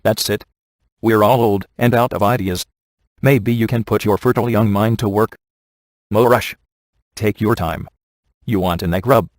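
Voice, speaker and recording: A man playing a wisecracking, sardonic ghost hunter in a video game, with a deadpan, offhand delivery. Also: on the low side, normal speed, pauses often, dry recording, lightly acted. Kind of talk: video game voice acting, comic one-liners.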